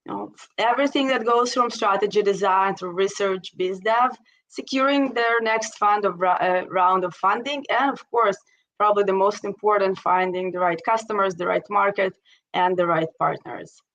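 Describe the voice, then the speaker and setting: A woman's voice: medium pitch, normal speed, fairly bright tone, low-quality recording. A middle-aged woman with an Israeli accent, a guest speaking casually on a video call and often saying "you know". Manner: conversational, many "you know" fillers. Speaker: interview guest, investor. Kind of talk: casual webinar conversation.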